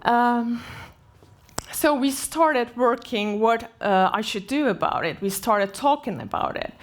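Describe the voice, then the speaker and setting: A woman in her forties, from Turkey, speaks from a conference stage in an unhurried, halting way. She leans on fillers and restarts sentences often. Her voice sits a little high for a woman. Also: animated. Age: 40s. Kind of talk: personal story keynote.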